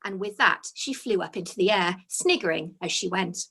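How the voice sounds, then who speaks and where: A woman reading her own children's story aloud as its author, in an expressive storytelling style. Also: fairly low pitch, normal speed, creaky, slightly rough.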